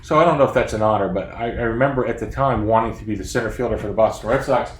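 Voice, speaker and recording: A man's voice: on the low side, rapid-fire. Chatty self-deprecating man in roomy office. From Florida, USA. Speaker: children's book author. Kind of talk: casual chat to young readers. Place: his home office. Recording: roomy office sound, faint background noise.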